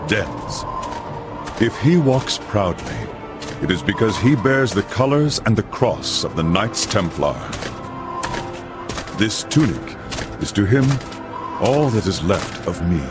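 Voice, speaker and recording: An actor playing a solemn middle-aged knight, a father narrating his family's saga in a grave, measured storyteller's manner for a game. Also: lowish voice, sing-song, over music. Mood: solemn, brooding. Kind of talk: dramatic scripted narration.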